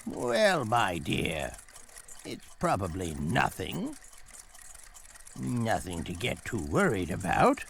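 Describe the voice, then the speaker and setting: A man playing a courteous, eccentric older gentleman in a video game, speaking in a courtly, deliberate manner. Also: lowish voice, huge pitch swings, gravelly, a little hiss or hum, exaggerated voice. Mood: reassuring, probing.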